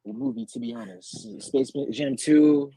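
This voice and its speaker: Man, gravelly, husky, dark mellow tone, dry sound, hesitant casual talk. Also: mid-range voice, sing-song, a little slow, no room sound, rough recording. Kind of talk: casual chat.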